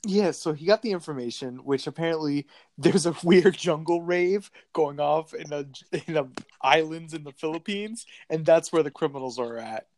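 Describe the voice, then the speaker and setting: A woman with a notably low, deep voice chats casually about a movie, dropping sarcastic asides and frequent laughs. The sound is dry and close, with no room around it. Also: normal speed, darkish tone, dry recording. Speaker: podcast co-host. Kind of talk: casual movie recap chat.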